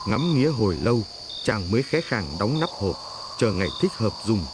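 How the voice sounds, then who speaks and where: A man's voice: lowish voice, expressive, a little fast, background noise. A man reading a scripted fairy tale as a storyteller. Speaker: storyteller narrator. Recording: music playing behind the voice. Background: prominent background music.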